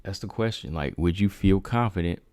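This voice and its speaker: A man's voice: low-pitched, normal speed, darkish tone, no room sound, clean studio sound. A man hosting a casual MMA livestream, asking his viewers rhetorical questions. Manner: talks straight to viewers, asks rhetorical questions. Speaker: MMA talk show host.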